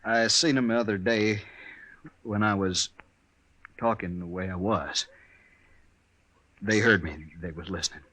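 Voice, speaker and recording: An actor playing a guilt-ridden, humble townsman in a 1950s radio western, with halting, folksy, rustic speech. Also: on the low side, fairly bright tone. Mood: uneasy.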